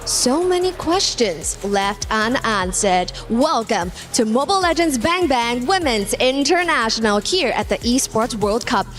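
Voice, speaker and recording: A woman's voice: fairly high pitch, expressive, measured pace, hardly any pauses, fairly bright tone, soft background music. A woman presenting an esports show with a polished, announcer-like opener. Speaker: esports caster.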